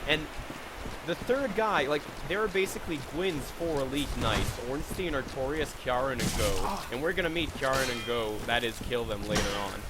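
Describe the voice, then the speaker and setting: A man's voice: mid-range voice, animated, normal speed, heavy background noise. A young man with a slight Serbian accent, chatting casually while streaming a game, thanking viewers and swearing at it. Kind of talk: casual live-stream commentary.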